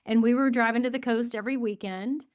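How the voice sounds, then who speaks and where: A woman in her fifties, a guest on a podcast, telling stories with animated shifts in pace and frequent self-quotes. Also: on the high side, no echo, studio quality. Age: 50s. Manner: enthusiastic storyteller, quotes herself often, speeds up and slows down. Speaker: guest, gratitude coach and author. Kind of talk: conversational podcast interview.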